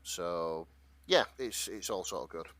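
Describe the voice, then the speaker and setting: A man with a slightly low voice co-hosts a casual podcast. He retells and reads from a story in an informal, wry way, and the sound is very dry with no room to it. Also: animated, measured pace, fairly bright tone, dry recording. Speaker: podcast co-host presenting a fan fiction story. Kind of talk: casual chat, summarising and reading out a story.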